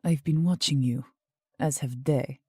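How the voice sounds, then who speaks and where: Imperious female royal spy played by an actress, cryptic and formal, deep mellow voice, faint music behind. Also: a little slow, slightly rough, dry recording, clean studio sound, full character voice. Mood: cool, cryptic. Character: mysterious, commanding female royal agent, coolly condescending. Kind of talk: steampunk audio drama acting.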